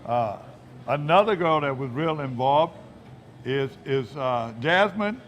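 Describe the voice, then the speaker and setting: A man's voice: a little high, animated, slow pace, fairly bright tone, muffled recording, a little hiss or hum. A man hosting a fishing show, chatting in a folksy, rambling way. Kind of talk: casual chat.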